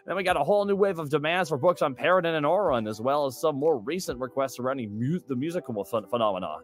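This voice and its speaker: A man's voice: a little high, expressive, fast talker, natural voice. A man voicing an earnest, chatty gnome assistant librarian who rambles eagerly through a fantasy newspaper interview. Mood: earnest, harried. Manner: rambling, eager, self-conscious joking.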